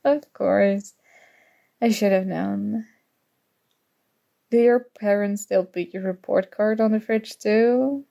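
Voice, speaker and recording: A woman's voice: medium pitch, steady tone, slow pace, gravelly, pauses often. A young woman acting in an ASMR audio roleplay as a prickly but grudgingly fond student, teasing and defensive. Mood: reluctantly sincere. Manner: teasing, defensive, flustered, soft-spoken tsundere.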